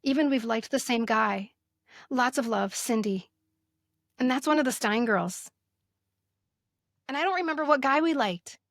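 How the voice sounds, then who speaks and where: A middle-aged American woman co-hosting a podcast, chatting casually and reading aloud with expressive emphasis. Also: fairly high pitch, unhurried, pauses often, no room sound, studio quality. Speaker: podcast co-host. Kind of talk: casual chat with animated reading aloud of old notes.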